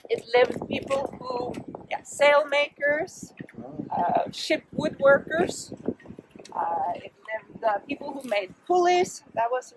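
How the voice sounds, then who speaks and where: A woman from Norway, guiding a walking tour outdoors at a harbor, halting and full of filler sounds. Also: sits high, creaky. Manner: halting, many filler sounds, loose grammar. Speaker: tour guide. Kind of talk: guided walking tour talk. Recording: loud outdoor street noise.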